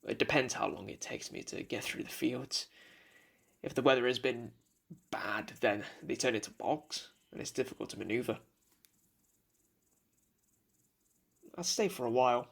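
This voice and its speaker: Guy acting a lonely reflective young man in a radio drama, gravelly creaky voice, poetic and a bit halting. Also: mid-range voice, slight background noise. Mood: matter-of-fact. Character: pensive solitary young man musing on a bridge.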